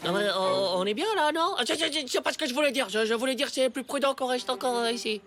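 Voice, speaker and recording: Excitable, bright-voiced cartoon boy. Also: sits high, fast. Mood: flustered. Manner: exclamatory, whiny, indignant. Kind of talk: French animated comedy series acting.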